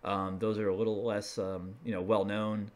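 A man's voice: lowish voice, level tone, moderate pace. A man presenting a hobbyist explainer in a casual, unscripted, conversational way, feeling his way through phrases as he talks over slides. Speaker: video presenter, radio hobbyist.

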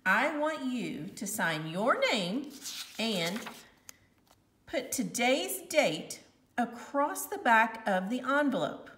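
Storybook schoolmistress, played by a woman: stern, formal, raised pitch, echoey room. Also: very animated, fairly bright tone, heavily acted. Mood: resolute. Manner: formal, measured, deliberate. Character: stern, prim older female grammar teacher. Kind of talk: children's storybook read-aloud. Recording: echoey room, distant mic.

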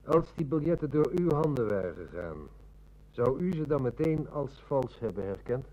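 An actor playing a shrewd, methodical police inspector near retirement in a radio play, with measured, polite questioning. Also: male voice, mid-range voice, lively intonation, mellow, lo-fi sound, close to an everyday voice. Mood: methodical, probing. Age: older. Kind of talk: Dutch radio play acting.

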